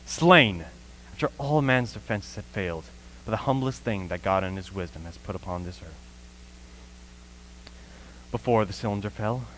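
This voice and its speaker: A man acting a solemn scholar who survived an invasion, narrating deliberately in a radio play. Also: deep voice, a bit bright, rough recording. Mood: grave, intense. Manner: solemn, deliberate, with dramatic emphasis. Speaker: actor in a school radio play. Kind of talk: dramatic radio play monologue. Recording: faint hum and noise, live stream.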